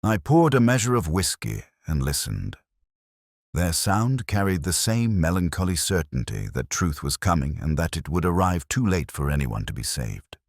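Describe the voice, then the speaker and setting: Brooding middle-aged inspector narrating a mystery, super low voice, lots of rise and fall, measured and wry. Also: male voice, sing-song, no room sound, studio quality, heavily acted. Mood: brooding, reflective. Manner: measured, literary, brooding, deliberate pauses. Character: melancholy, wry middle-aged male English police inspector. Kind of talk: scripted first-person detective narration.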